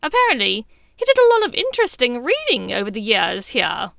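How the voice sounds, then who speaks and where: A woman voicing a middle-aged dark witch, sneering, taunting and sharp, in a storybook reading. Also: on the high side, huge pitch swings, fairly quick, creaky, no room sound, clean studio sound, exaggerated voice. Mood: sneering, mocking.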